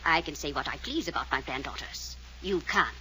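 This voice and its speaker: An actress playing an imperious, haughty old American grandmother in a radio serial, commanding, sharp-tongued and quick to take offence. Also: deep voice, lively intonation. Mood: haughty, scolding. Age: older. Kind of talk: 1940s radio serial acting. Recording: noisy vintage radio serial recording.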